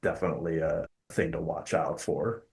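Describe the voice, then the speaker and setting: A man with a notably low voice hosts a casual podcast. He speaks in a measured, articulate way, choosing slightly formal phrases while staying conversational. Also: fairly even intonation, vocal fry, a little mellow. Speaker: podcast host.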